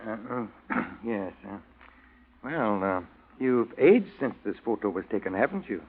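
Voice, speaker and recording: An actor playing a polite, fussy bank manager in a 1940s radio drama, hesitant and deferential, on a thin old recording. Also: male voice, fairly low pitch, big pitch swings, unhurried, phone-quality sound, a little hiss or hum. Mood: flustered, deferential. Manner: courteous, hesitant, stammering.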